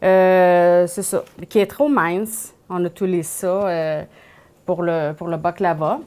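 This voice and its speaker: The Persian-accented woman invited onto a local cooking show, talking through ingredients at the counter in halting second-language phrasing. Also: on the low side, fairly even intonation, a little mellow. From Iran. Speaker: guest home cook. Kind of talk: casual cooking explanation.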